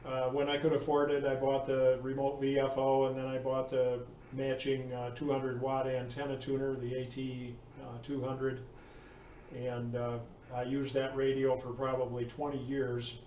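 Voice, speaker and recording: A roomy, slightly distant recording with faint noise carries a man's mid-pitched voice. He chats casually as a ham radio operator, in a halting, unhurried way with formal sign-offs. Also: completely flat delivery, warm tone.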